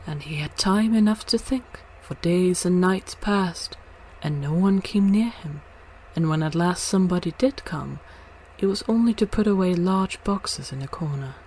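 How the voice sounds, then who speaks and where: A woman's voice: fairly low pitch, moderate pace, warm tone, rough recording. A woman narrating a storybook as a read-aloud, with some noise behind her voice. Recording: noticeable background noise.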